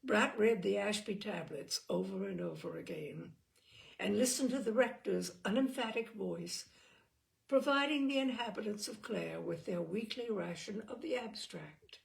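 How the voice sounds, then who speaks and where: A woman narrates a novel in a voice of middling pitch with noticeable creak. Her reading is scripted and expressive, in the manner of an audiobook storyteller, with a small stumble here and there. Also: moderate pace, low-quality recording. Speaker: audiobook reader.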